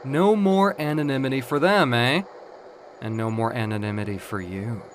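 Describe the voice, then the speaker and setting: A man's voice: mid-range voice, normal speed, slight background noise, close to an everyday voice. A man voicing a suave, patronizing demon in an audio comic book drama, theatrical and mocking. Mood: grandly praising.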